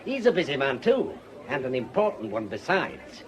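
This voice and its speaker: An actor with a British accent playing a self-important, bluff older retired policeman in a radio drama, talking briskly. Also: mid-range voice, sing-song, lightly acted. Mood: pompous. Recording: old radio drama, crowd behind.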